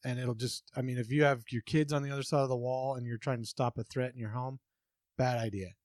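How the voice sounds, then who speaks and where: A man's voice: mid-range voice, steady tone, fast, a little mellow, no echo. Chill podcast guy explaining stuff, lots of ums, dry sound. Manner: easygoing, explains with many ums. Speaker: podcast co-host. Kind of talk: casual podcast chat.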